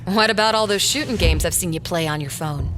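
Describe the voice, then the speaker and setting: A woman's voice: fairly low pitch, fairly quick, background noise, lightly acted. An actress playing a caring, capable small-town woman in an audio drama, coaxing and practical. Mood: practical, chiding. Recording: music behind the voice.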